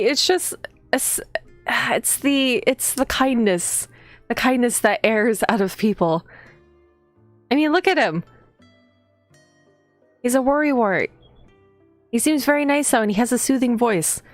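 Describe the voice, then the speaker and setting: A woman's voice: sits high, lively intonation, measured pace, pauses often, dry recording. A woman streaming a game, chatting casually and reading aloud in a playful, teasing manner. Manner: playful, teasing, giggly asides to viewers. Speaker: streamer playing a visual novel. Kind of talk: casual live gameplay commentary and reading aloud. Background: game music.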